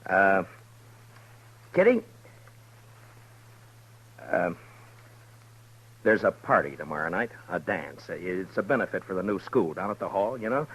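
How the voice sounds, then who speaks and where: A frontier marshal, speaking in a very deep, husky voice that still rises and falls a lot. He stammers and hesitates shyly in this old-time radio drama. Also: very animated, a little slow, pauses often, fairly bright tone, full character voice, low-quality recording. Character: bashful frontier lawman, a big man. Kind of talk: 1950s radio drama acting.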